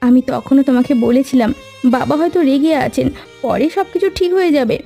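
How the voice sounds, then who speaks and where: A woman voicing a gentle, dutiful young wife in a fairy-tale cartoon. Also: high voice, moderate pace, gravelly, soft background music. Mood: reproachful, urgent.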